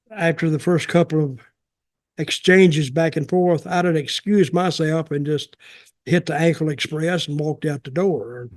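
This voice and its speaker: A man's voice: fairly high pitch, darkish tone. An older man telling anecdotes casually, in a rambling, folksy and wry way. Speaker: podcast host, former wrestling performer.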